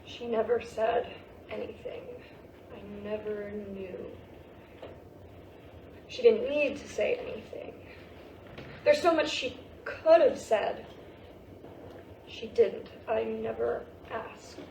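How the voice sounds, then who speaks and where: A woman's voice: a little high, takes it slowly, creaky, long pauses, mellow. A woman acting a defensive, sarcastic teenage daughter in a staged play reading, argumentative and emphatic. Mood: subdued. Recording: roomy, distant mic, faint noise.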